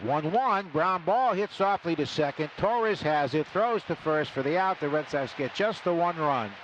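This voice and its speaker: A man with a slightly high voice calls a baseball game live on the radio from the booth. His phrases are short and clipped, rising for the big plays. Clear stadium noise runs behind him throughout. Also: animated, moderate pace, low-quality recording. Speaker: radio baseball play-by-play announcer. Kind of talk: live sports commentary. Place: broadcast booth at an outdoor ballpark. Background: loud ballpark ambience.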